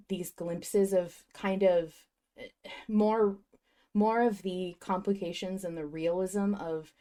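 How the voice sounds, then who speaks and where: A woman's voice: fairly low pitch, a little mellow. A woman co-hosting a podcast, talking through her thoughts in a reflective, wordy, enthusiastic way in casual fan discussion. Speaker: podcast host.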